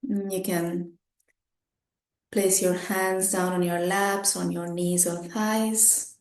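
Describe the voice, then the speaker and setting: A woman meditation teacher leading a guided meditation in short, gentle, unhurried phrases with pauses between them. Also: fairly low pitch, level tone. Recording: roomy, echoing sound.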